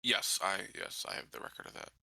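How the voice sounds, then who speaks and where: A man's voice: brisk, bright, forceful tone, dry recording, clean studio sound. A man speaking as a player in a tabletop roleplaying game, in casual conversation.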